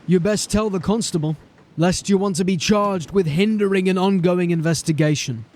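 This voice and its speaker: A man's voice: high-pitched, moderate pace, a little mellow, natural voice. A man playing a stern male city watch sergeant who questions people in a formal, suspicious way. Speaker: police sergeant.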